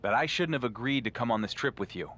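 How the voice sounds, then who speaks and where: Game casting: champion wrestler with an ordinary mid-range voice, sarcastic, put-upon and defensive. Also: male voice, medium pitch, expressive, quick pace, a bit bright. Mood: dry exasperation. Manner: dry, sardonic retorts, defensive under accusation.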